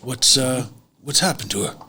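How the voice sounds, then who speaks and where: A man playing a dim-witted, officious country cop in a tabletop roleplay, earnest and controlling, speaking slowly and haltingly. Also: medium pitch, sharp tone, slight background noise.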